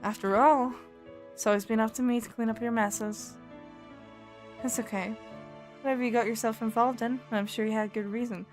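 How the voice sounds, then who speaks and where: A woman's voice: on the high side, steady tone, moderate pace, long pauses, lightly acted. A woman with a mixed Scottish and Canadian accent voicing a tough young woman who was once in a gang, defiant and resolute, over game music.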